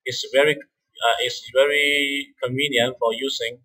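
A man with a bright, forward voice at a medium pitch walks through software step by step. He is a non-native English speaker, and his delivery is halting, with repeated words. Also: flat delivery, low-quality recording. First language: not English. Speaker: software tutorial presenter. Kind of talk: step-by-step screen-recorded software tutorial.

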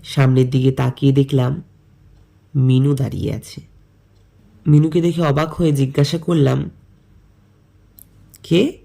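A man tells a scripted first-person story. His delivery is very slow and measured, phrase by phrase. The voice is mellow with a creaky grain. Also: medium pitch, steady tone. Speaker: narrator and lead character.

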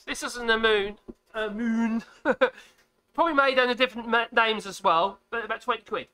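Husky, bright-voiced man. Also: normal speed. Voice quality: husky at the moment, fairly high voice. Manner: rambling, self-correcting, chatty. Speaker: woodworking channel host. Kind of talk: casual live stream chat. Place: cluttered home workshop, indoors. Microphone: cheap USB desk microphone. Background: air compressor running at times.

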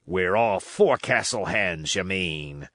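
A man's voice: low-pitched, animated, takes it slowly, fairly bright tone, dry recording, studio quality, full character voice. A man reading a storybook, voicing a shrewd, domineering pirate sea cook with salty, emphatic sailor talk. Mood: scornful.

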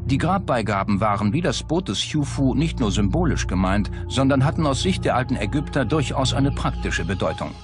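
A man's voice: medium pitch, expressive, a little fast, few pauses, over music. A man reading scripted documentary narration as the narrator of a history programme.

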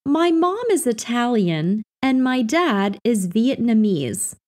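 Slow, lively woman reading practice phrases. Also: fairly high pitch. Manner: clear, carefully enunciated delivery. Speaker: English lesson reader.

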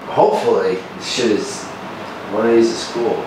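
A man's voice: medium pitch, normal speed, reverberant, noisy background. A man in his thirties from New York chatting casually and laid-back, calling his friend "dog". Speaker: friend of the vlogger. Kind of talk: casual chat.

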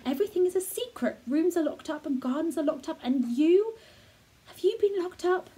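A woman's voice: extremely high pitch, animated, normal speed, dark tone, voice pushed up. Woman playing a curious, blunt young girl. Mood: wondering.

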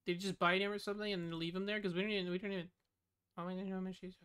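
A woman's voice: medium pitch, little pitch movement, very fast, a bit bright, clean tone. A young woman streamer giving casual reaction commentary and reading captions aloud.

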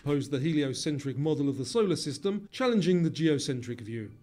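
A man reading scripted quiz questions and answers in a measured, formal way. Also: a little high, expressive, darkish tone. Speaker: quiz narrator. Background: background music.

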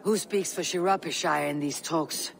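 An actor playing a steady, level-headed Viking warrior who speaks firmly. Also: male voice, a little high, moderate pace, creaky, slight background noise. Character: steady calm male Viking warrior, young adult.

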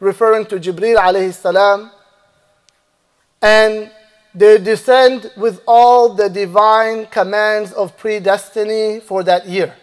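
A man in his thirties delivering a Friday sermon as imam in a mosque, speaking in a measured preaching manner into a stand microphone, with a long hall reverb on his voice. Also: high-pitched, steady tone, clean tone. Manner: measured preaching, recites Arabic verses rapidly then translates slowly. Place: mosque prayer hall, indoors. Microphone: stand mic and lapel mic.

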